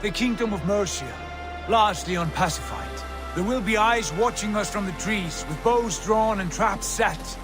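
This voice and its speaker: An actor playing a wary Viking raider who leads a longship crew, deliberate and commanding, in a video game cutscene. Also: male voice, high voice, music in the background, lightly acted.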